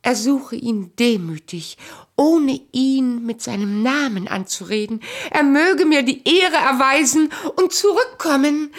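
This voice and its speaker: An actress playing a proud, passionate, sharp-tongued noblewoman in a radio play, with imperious delivery and dramatic pauses. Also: sits high, lively intonation. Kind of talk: theatrical German radio play dialogue.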